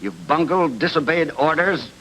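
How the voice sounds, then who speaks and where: An actor playing a cold, unforgiving boss with an emphatic, accusatory manner in old-time radio noir style. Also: male voice, a little high, normal speed, pushed and bright. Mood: angry, accusing. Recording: faintly noisy old radio recording.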